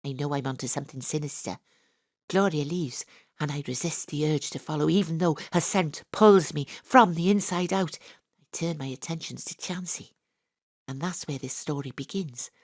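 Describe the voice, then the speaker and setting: A woman playing a deadpan psychic sleuth. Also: deep voice, normal speed, no room sound, studio quality. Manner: earnest, deadpan, absurd. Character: eccentric, self-absorbed female amateur psychic sleuth. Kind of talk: comic first-person narration. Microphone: home studio microphone.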